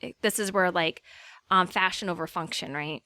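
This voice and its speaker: A woman's voice: lowish voice, normal speed, pushed and bright. A woman co-hosting a casual book club podcast, talking in a blunt, informal, colloquial way. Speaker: podcast co-host.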